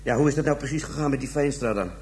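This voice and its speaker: A man's voice: mid-range voice, rapid-fire, darkish tone, reverberant, close to an everyday voice. An actor playing a dry, terse policeman who prompts a suspect through a statement in a radio drama. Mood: insistent. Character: dry, prodding male policeman taking a statement. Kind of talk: radio drama acting, interrogation scene.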